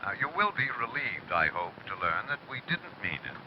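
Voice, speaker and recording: A droll 1930s radio host played by an actor, mid-pitched and measured, with lively intonation. The recording is dull and faintly noisy. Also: male voice.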